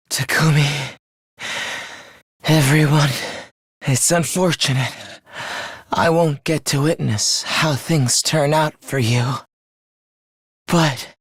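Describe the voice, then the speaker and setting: A man's voice: sits high, a bit bright, no room sound, studio quality. A man playing a young video game fighter who is eerily polite and fervent, speaking in theatrical, formal phrases of morbid devotion. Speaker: voice actor. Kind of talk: dramatic video game character acting.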